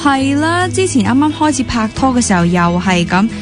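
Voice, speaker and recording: A woman's voice: high-pitched, very animated, normal speed. An actress with a Hong Kong Cantonese accent playing an inquisitive, teasing young woman who dances, in a radio drama. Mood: playful, teasing. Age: young adult. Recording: music playing behind the dialogue.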